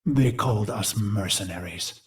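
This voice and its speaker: A man voicing a brooding warrior machine mind in a video game, recalling a brutal past in a grave, theatrical monologue with deliberate phrasing. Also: on the low side, close to an everyday voice. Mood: grim, resolute. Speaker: game character narrator. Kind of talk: dramatic scripted game monologue.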